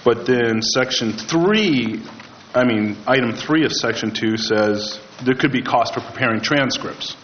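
A man's voice: mid-range voice, measured pace. A man speaking as a board member, asking informal questions at a public meeting. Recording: muffled, lightly noisy meeting recording.

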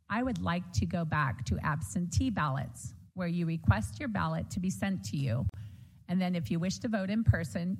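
A woman with a strong, loud voice giving an earnest, hopeful answer as a candidate in a debate. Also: on the low side, rough recording.

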